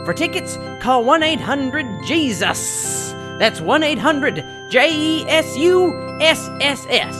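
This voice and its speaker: A woman's voice: very animated, slow, hardly any pauses, music in the background, exaggerated voice. A woman voicing an earnest church passion-play actor selling tickets in a parody radio advertisement. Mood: earnest, salesy. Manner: earnest salesy pitch.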